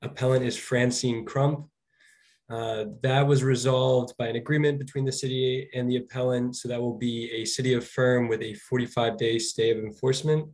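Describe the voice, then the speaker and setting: A slightly hoarse man speaks to an appeals board over a video call. He is polite and deferential, and his pitch is ordinary for a man. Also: little pitch movement, normal speed, darkish tone. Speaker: law department representative. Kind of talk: formal hearing remarks.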